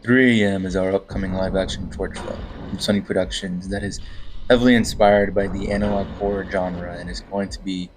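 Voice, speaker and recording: A man's voice: sits low, flat delivery, a little fast, noisy background. A man presenting a scripted announcement as a voice-over narrator.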